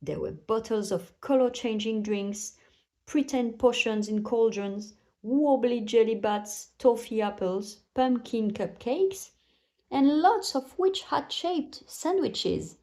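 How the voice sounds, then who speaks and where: A woman's voice: on the high side, animated, slow, darkish tone. A woman narrating a children's bedtime story aloud, telling it expressively for young listeners.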